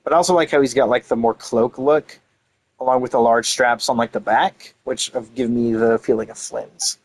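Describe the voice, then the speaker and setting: A man's voice: mid-range voice, steady tone, moderate pace. A young man giving casual, rambling game commentary with enthusiasm, often repeating himself. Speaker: game streamer.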